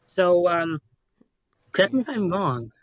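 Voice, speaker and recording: Slightly high-voiced man in dry, casual chat. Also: sing-song, unhurried, no echo. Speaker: commentary guest and amateur voice actor. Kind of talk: casual chat giving opinions.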